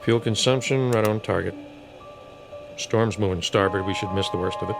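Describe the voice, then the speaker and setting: An actor playing a joking, flippant airline co-pilot in a casual manner for a radio drama. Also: male voice, on the low side, moderate pace, creaky, over music. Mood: businesslike. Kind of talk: vintage-style radio drama acting.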